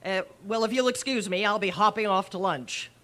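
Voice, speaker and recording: A woman's voice: mid-range voice, a little fast, a bit bright, close to an everyday voice. A woman playing a wry, self-deprecating schoolteacher with dry asides in an old-time radio comedy. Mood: flustered, polite.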